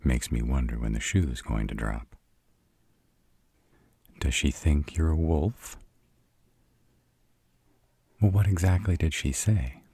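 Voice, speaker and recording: A man playing a sarcastic, brooding vampire with a soft spot, dry and grudging, in a roleplay audio drama. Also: a bass voice, takes it slowly, long pauses, slightly rough, no echo, full character voice. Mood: suspicious, sardonic.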